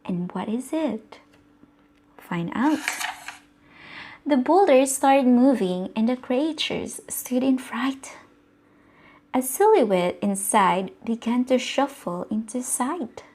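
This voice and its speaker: A Filipino woman reads a rhyming bedtime story aloud at a slow, soothing pace. Her voice sits a bit high, and she pauses to ask her young listeners questions. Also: expressive, darkish tone. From Philippines.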